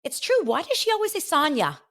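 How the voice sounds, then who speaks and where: A woman's voice: high-pitched, wildly swooping pitch, brisk, a bit bright. A young woman from Los Angeles hosting a podcast, chatting animatedly and gossiping while reading out viewer comments. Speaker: podcast host. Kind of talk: casual chat.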